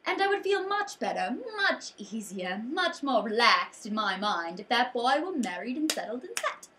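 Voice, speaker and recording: A woman's voice: on the high side, big pitch swings, moderate pace, sharp tone. A woman acting an overbearing, doting middle-aged queen mother in a comedic stage monologue, with a fussy, emphatic, theatrical delivery. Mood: insistent, exasperated.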